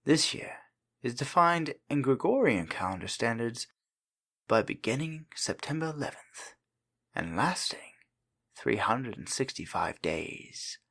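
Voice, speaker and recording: A man's voice: mid-range voice, sing-song, measured pace, a bit bright. A man reading scripted archive narration in formal, clinical wording.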